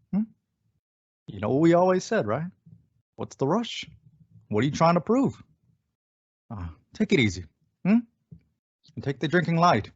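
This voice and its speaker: Concerned, gentle father for a public-service spot male actor; raspy, expressive, intimate coaxing delivery. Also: on the high side, exaggerated voice. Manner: coaxing, conversational, pausing for replies. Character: gentle, concerned father counselling his son. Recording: dull, lo-fi audio.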